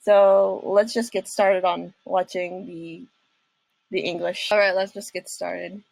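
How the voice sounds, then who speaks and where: A woman's voice: fairly low pitch, level tone, takes it slowly, creaky, a little mellow, dry recording, low-quality recording. A young woman hosting a casual reaction video, talking in a hesitant, rambling way.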